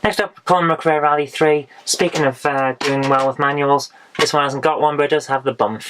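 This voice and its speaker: A woman, hoarse from losing her voice, chatting frankly through a haul of retro games with dry asides. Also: low-pitched, steady tone, moderate pace, noisy background. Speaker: retro game vlogger. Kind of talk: casual game haul review.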